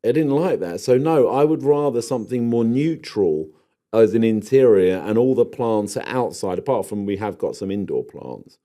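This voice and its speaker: A man's voice: lowish voice, animated, moderate pace, warm tone. A man with an English accent co-hosting a podcast in casual chat, rambling and wandering off into digressions. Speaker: podcast co-host.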